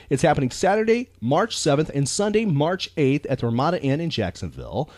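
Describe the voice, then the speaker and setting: A man's voice: mid-range voice, a little mellow, no room sound. An American man hosting a podcast, chatting in a casual, folksy, rambling and emphatic way. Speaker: podcast host.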